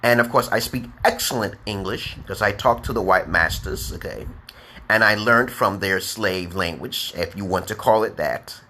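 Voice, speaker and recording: A man with a New York accent teaching beginner English on a live stream, speaking slowly and emphatically and checking in with "okay?". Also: on the low side, sharp tone, slight background noise. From United States. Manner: drawn-out, emphatic, repeats letters and "okay?". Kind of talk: slow deliberate live-stream lesson.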